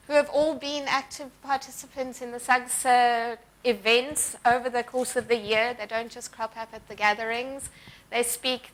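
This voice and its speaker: A woman's voice: fairly high pitch, a bit bright, low-quality recording. Woman welcoming a conference crowd. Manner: friendly, with frequent ums and pauses. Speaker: event organiser introducing sponsors and keynote. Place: conference hall.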